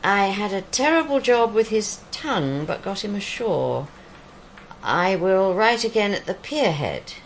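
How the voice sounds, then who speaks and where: A woman acting a dry, witty police pathologist in a radio drama, with a deadpan, literate delivery. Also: medium pitch, slight background noise, low-quality recording.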